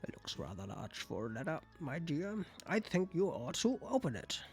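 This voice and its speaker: A man's voice: fairly high pitch, sing-song, moderate pace, slightly rough, full character voice. A man voicing a pompous, impatient cat major with a condescending manner in a visual novel read aloud. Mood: demanding. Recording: music behind the voice.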